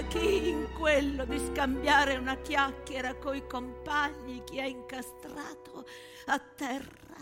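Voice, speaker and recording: An actress performing as an impassioned storyteller of war horrors, declaiming a theatrical monologue in an intense, incantatory way. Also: female voice, sits high, sharp tone, heavily acted. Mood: hushed, eerie. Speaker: stage actress and narrator. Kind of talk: theatrical dramatic monologue set to music. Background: music.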